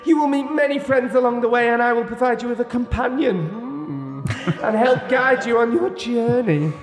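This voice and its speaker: A performer voicing a flamboyant male ghost of Christmas past in a comic play reading, booming and theatrical with drawn-out hums between lines. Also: lively intonation, echoey room, in a large hall, faint music behind, lightly acted. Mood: grandly mysterious.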